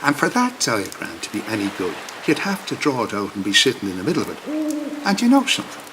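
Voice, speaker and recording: An actor playing a caring, gruff Irish priest in a video game, folksy and wry as he fusses and scolds. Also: on the low side, wildly swooping pitch, fast talker, roomy sound, noisy background. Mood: wry, firm. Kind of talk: video game cutscene acting.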